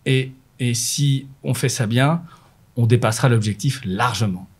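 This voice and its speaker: Measured middle-aged man explaining business. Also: medium pitch, husky. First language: French. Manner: measured, explanatory, frequent fillers and restarts. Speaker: renewables manager at an energy company. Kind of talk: corporate interview answers.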